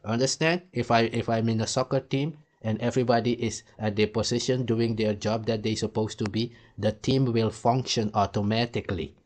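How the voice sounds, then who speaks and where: A man's voice: on the low side, steady tone, normal speed, hardly any pauses, a little mellow. A man from Suriname, hosting a heated, blunt and profane reaction commentary. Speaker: commentary host.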